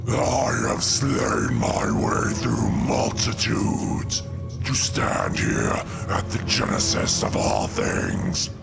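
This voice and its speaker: Gravelly, menacing, slow god-killer villain. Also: male voice, rumbling low, huge pitch swings, heavily acted. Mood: solemn, vengeful. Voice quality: gravelly, creaky. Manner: slow, grandiose, theatrical delivery. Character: vengeful, cold, menacing male alien god-killer villain. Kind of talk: comic-book audio drama monologue. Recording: loud music under the voice.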